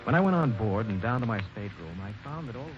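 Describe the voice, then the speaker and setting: Actor as an eager young engineer, earnest, stumbling when flustered; lowish, expressive; dull old radio with music bed. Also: male voice, very fast, lightly acted. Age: young adult. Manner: stammering and earnest, rising to anger. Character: young ambitious male mining engineer narrating, bitter. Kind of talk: 1940s radio acting and narration.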